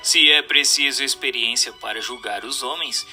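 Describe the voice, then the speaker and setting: A man with a Brazilian Portuguese accent reading a spiritual text as scripted narration, in a formal, measured, didactic way. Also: medium pitch, over music.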